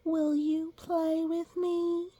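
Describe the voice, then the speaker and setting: A woman voicing a hopeful little girl looking for animal playmates, in a drawn-out, sing-song storybook delivery. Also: very high voice, little pitch movement, dark tone, dry recording, voice pushed up, exaggerated voice.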